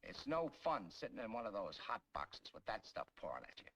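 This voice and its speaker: Tough grumbling American tank soldier, 1940s training film: brash, sharp, swooping emphatic delivery, noisy old soundtrack. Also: male voice, fairly low pitch, big pitch swings, fairly quick. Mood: gruff complaint.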